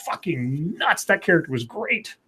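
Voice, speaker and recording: A man's voice: a little high, very animated, normal speed, sharp tone, breathy edge. A man co-hosting a podcast, chatting casually, swearing now and then and repeating words. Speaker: podcast co-host. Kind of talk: casual chat.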